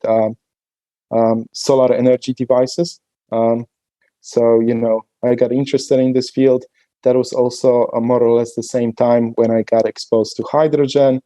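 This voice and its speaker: A man from Poland, speaking as a podcast guest in a relaxed, hesitant way with many fillers. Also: mid-range voice, moderate pace, no echo. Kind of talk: conversational podcast interview.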